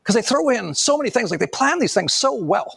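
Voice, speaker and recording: A man's voice: very high-pitched, sing-song, a little fast, creaky, fairly bright tone, breathy edge, no room sound, studio quality. A man giving casual, rambling online commentary, often chuckling sardonically as he makes his points.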